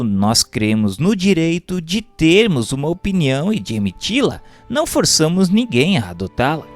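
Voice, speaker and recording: Man narrating formally, animated intonation. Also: mid-range voice. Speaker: narrator reading a book aloud. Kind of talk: scripted audiobook narration.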